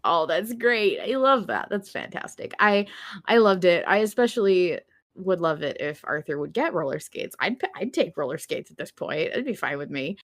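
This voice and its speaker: A woman's voice: fairly low pitch, very animated, moderate pace, no echo, clean studio sound. A woman hosting a podcast in a casual, conversational style. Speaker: podcast host.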